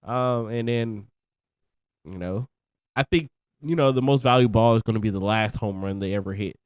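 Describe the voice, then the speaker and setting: A young man with a slightly low, congested voice chats easily with a co-host, dropping casual slang as he goes. The sound is dry and close, with no room around it. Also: normal speed, dry recording. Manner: relaxed, laid-back slang. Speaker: podcast co-host. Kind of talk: casual chat.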